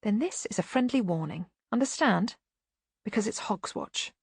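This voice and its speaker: Woman playing a stern, quiet young governess. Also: medium pitch, lively intonation, moderate pace, gravelly, slightly rough, dry recording, exaggerated voice. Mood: firm warning. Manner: quiet, firm, dry. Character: brisk, stern, dryly menacing young governess.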